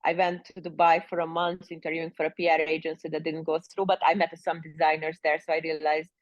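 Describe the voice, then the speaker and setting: A woman from Hungary, circling her points with constant "like" and "you know". Her voice sits fairly low. The recording is dull and dry, like remote audio. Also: little pitch movement, quick pace, dry recording. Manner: rambling, heavy with fillers like "like" and "you know". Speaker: guest. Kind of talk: casual interview chat.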